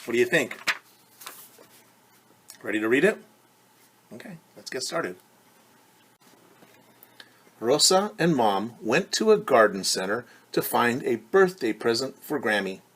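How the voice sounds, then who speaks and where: A man's voice: mid-range voice, big pitch swings, a little slow, long pauses, a bit bright, husky. A man teaching young children, reading a picture book aloud and patiently explaining it in simple terms with questions.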